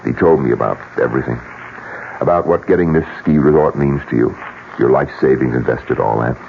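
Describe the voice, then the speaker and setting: An actor playing a blunt, practical businessman with a husky voice and a forceful, combative manner in an old radio drama. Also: very deep voice, brisk, a little hiss or hum. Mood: weary, wry. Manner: forceful, emphatic, rhetorical. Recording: tinny, narrow old radio recording.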